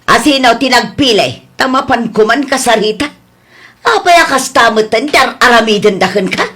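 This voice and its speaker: A woman's voice: a little high, animated, normal speed, a bit bright. A woman doing a fussy, chatty mother. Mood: worried. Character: middle-aged mother, fussy and talkative. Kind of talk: radio drama acting.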